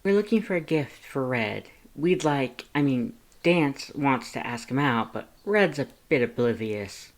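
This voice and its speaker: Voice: medium pitch, animated, a little slow, hardly any pauses. A narrator voicing a flirty, affectionate male monster in a fan fiction audiobook, with playful, coaxing talk and pet names. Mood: earnest, flustered.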